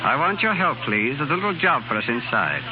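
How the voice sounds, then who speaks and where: An actor playing a suave murderous professor and spy with polite menace, in a noisy 1930s radio drama. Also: male voice, medium pitch, very animated, fairly quick, lightly acted. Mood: businesslike. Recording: noisy, muffled 1930s radio broadcast recording.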